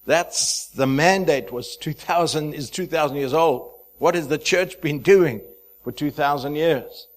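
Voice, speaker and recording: A man in his fifties from Zimbabwe, a pastor speaking to a church, chatty and halting, with many fillers and restarts. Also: fairly high pitch. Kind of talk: sermon introduction.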